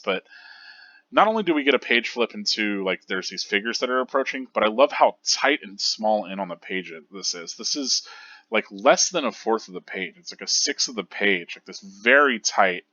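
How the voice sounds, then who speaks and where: A man with a low, deep voice that still comes across bright and forceful, talking through comics in a loose, enthusiastic ramble full of fillers and restarts. The recording is dry and slightly dull, like a modest home setup. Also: lively intonation, brisk, dry recording. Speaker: host of a manga reread show. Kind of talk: casual analytical commentary.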